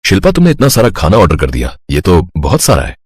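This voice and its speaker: A man playing a polite, courteous schoolteacher with a husky voice in a story. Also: sits low, huge pitch swings, fast talker, darkish tone, dry recording, studio quality, exaggerated voice. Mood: surprised. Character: polite, handsome male schoolteacher, mildly surprised.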